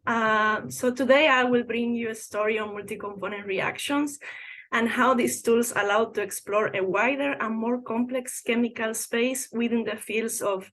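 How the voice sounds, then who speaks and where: A woman, giving a science lecture carefully with frequent pauses. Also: on the high side, low-quality recording. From Cuba. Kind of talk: lecture presentation.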